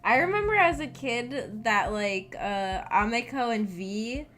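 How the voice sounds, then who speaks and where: A woman's voice: on the high side, expressive, a little slow, fairly bright tone. A woman streamer chatting casually to viewers, telling personal stories in a rambling, self-deprecating, hesitant and giggly way. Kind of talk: casual livestream chat and personal storytelling. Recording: background music under the voice. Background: background music.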